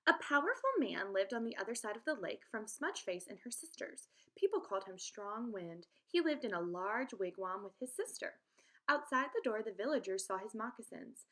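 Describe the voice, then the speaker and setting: Female teacher, expressive children's read-aloud, direct address to young listeners. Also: fairly high pitch. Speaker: teacher reading aloud to pupils.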